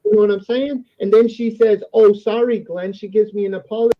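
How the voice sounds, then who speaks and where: A man's voice: high voice, steady tone, brisk, no echo. A man talking in a rambling, argumentative way, combative, sweary and disjointed. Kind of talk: casual, rambling argumentative talk. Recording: dull, lo-fi close audio.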